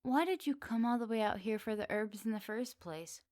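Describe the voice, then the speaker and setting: A woman voicing a flirty, kindly, lonely witch in an intimate, softly teasing ASMR roleplay, close to the microphone. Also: on the high side, lively intonation, normal speed, dry recording, natural voice. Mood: excited. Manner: soft, teasing, cozy delivery to one listener. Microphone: close dry microphone.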